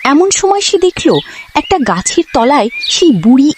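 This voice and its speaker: A woman's voice: sits high, very animated, moderate pace, background noise. A woman storyteller giving scripted narration of a fairy tale.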